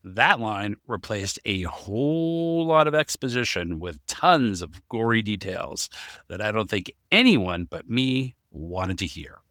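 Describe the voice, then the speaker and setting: A man's voice: on the low side, expressive, a little slow, fairly bright tone, no room sound. A man co-hosting a casual podcast discussion, talking as the writer of the story. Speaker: podcast co-host, story writer and amateur voice actor.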